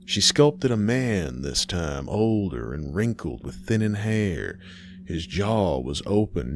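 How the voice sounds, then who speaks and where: A man reading a scripted horror story in a dramatic, measured way, pausing between phrases. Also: low voice, a little hiss or hum.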